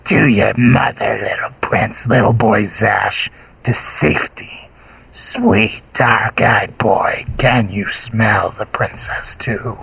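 A man voicing a hunched, rotten male monster who wheezes and whispers with slow gloating menace. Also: lowish voice, vocal fry, fairly bright tone, breathy edge, dry recording, full character voice, low-quality recording. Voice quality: whispery, drawn-out hissing.

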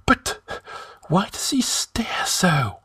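A man's voice: high voice, big pitch swings, drawn-out words, sharp tone, breathy edge, dry recording, in a whisper, studio quality, voice pushed up, full character voice. A man voicing a fat, flustered old royal counselor, wailing and fussy, in an audiobook fantasy tale. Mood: nervous.

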